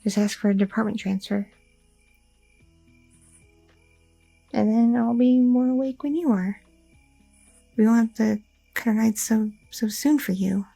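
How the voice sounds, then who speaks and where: A woman's voice: fairly high pitch, moderate pace, creaky, mellow, soft background music, low-quality recording. A woman playing an anxious, devoted young goth girlfriend in an ASMR audio roleplay, hesitant and rambling, often repeating herself. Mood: tender, hopeful. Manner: hesitant, stammering, self-interrupting, repeating words.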